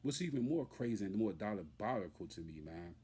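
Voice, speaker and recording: A man's voice: lowish voice, lively intonation, brisk, background noise. A man giving an unscripted, emphatic and indignant opinion rant as a hip-hop commentator, repeating himself and using street slang.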